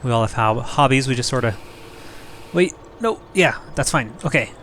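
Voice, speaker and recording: Young male let's-play host, mid-pitched, slightly hoarse and rough, rambling self-mocking chat over faint game noise. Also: lively intonation, normal speed, fairly bright tone. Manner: rambling, self-joking, thinks aloud. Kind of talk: casual gameplay commentary.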